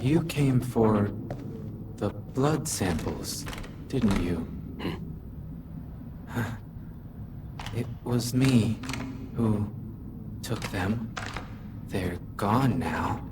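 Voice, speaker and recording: An actor playing a sinister, taunting possessed villain in a video game, with a mocking drawl. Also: male voice, fairly low pitch, animated, extremely slow, pauses often, noisy background. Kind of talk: dramatic video game voice acting.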